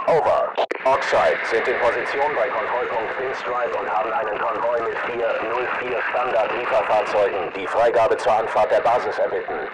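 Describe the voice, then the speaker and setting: An actor playing a male field soldier in a video game, giving clipped, procedural status reports over radio. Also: mid-range voice. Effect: military radio filter. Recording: very noisy military radio, outdoor ambience.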